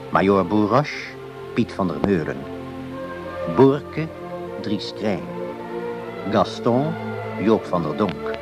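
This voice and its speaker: A man reads closing credits as a radio drama announcer. His delivery is formal, slow and scripted, in a fairly low voice. Music is clearly heard behind him.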